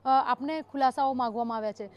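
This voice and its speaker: High-voiced woman reporter. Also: fairly quick, muffled recording. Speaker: news reporter. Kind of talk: live news report and interview.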